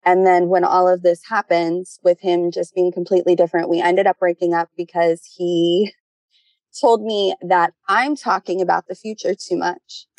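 A woman's voice: on the low side, flat delivery, moderate pace, a little mellow, no echo. A young adult woman calling in for advice, giving a casual, conversational account of her personal life. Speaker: caller seeking relationship advice.

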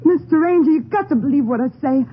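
Scared, respectful Southern sister played by a woman, high and pleading, old-time radio acting, phone-like narrow audio. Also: quick pace, lightly acted. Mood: fearful, pleading. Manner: pleading, submissive. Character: frightened, deferential young woman protecting her brother. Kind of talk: 1950s radio drama acting. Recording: thin tinny old radio.

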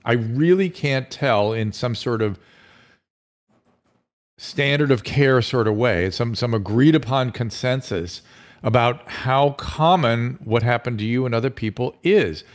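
Middle-aged doctor guy on a live call-in show, earnest, stumbles and restarts, mid-pitched voice. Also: unhurried. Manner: earnest, emphatic, frequent restarts. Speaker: physician talk show host.

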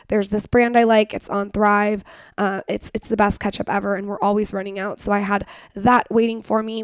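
A woman co-hosts in a casual, chatty style that slides easily into personal ad reads. Her voice is slightly hoarse and of middling pitch. The recording is dry, with little room around it. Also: no echo. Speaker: podcast co-host.

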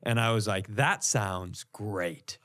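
A man's voice: on the low side, slow, a bit bright. An American man, a comedian and podcast co-host, telling stories casually and profanely, acting out reactions as he goes.

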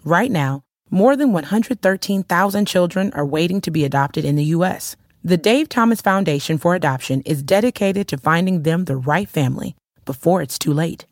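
A woman's voice: on the low side, expressive, no room sound, clean studio sound. A woman reading an earnest public service ad. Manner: earnest, measured. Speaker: ad narrator.

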